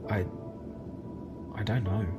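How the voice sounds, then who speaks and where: A man plays an uncertain, troubled hero in an audio drama. His voice sits a little low. Loud music plays underneath. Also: fairly even intonation, slow. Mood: troubled disbelief. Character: troubled, uncertain man facing hard truths.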